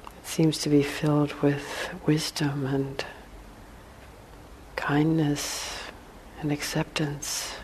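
A woman guides a meditation in a hushed, soothing voice. She speaks slowly in short phrases with long pauses, keeping her pitch level and steady. There is a faint wash of background noise behind her. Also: low-quality recording. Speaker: meditation teacher.

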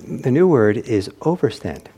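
A man giving an informal meditation talk, reflective and hesitant as he searches for words, with a soft, husky voice. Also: medium pitch, very animated, measured pace. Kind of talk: informal spoken teaching with anecdotes.

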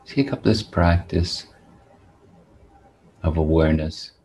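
Very slow, deep-voiced man guiding meditation. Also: a little mellow, a little hiss or hum. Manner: deliberate, measured, with long pauses. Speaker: meditation teacher. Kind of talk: guided meditation talk.

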